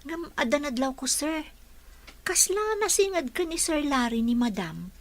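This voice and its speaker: A woman's voice: high-pitched, animated, measured pace. A woman playing a polite, curious caregiver. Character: attentive young female caregiver. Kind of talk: Ilocano radio drama acting.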